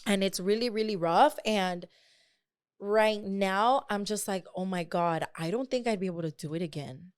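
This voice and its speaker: A woman's voice: medium pitch, moderate pace, no echo, clean studio sound. A woman, chatting casually as a podcast co-host, candid and slangy, slipping into Spanish and swearing freely. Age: late 20s. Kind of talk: casual sibling podcast chat.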